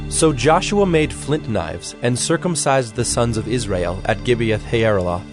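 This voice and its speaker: A man narrating scripture from a script in a formal, measured storytelling manner. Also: medium pitch, lively intonation, over music.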